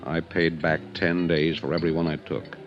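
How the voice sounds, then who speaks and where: Aging ex-bootlegger fresh from prison, low, musing, world-weary, wry, in muffled vintage radio drama. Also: male voice, level tone, normal speed. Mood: earnest, guarded. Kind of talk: 1950s radio drama acting. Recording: muffled 1950s radio recording with music.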